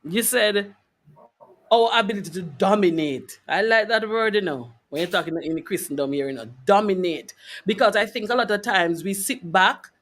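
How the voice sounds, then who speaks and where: A man's voice: sits high, normal speed, a bit bright. A man hosting a conversational interview, speaking emphatically and repeating key phrases for weight. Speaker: interview host. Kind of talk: conversational faith-based interview.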